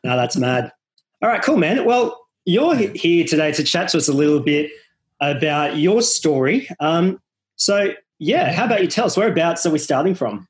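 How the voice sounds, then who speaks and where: A man's voice: on the high side, animated, normal speed. A young Australian man hosting a podcast interview, chatting in a casual, jokey, matey way.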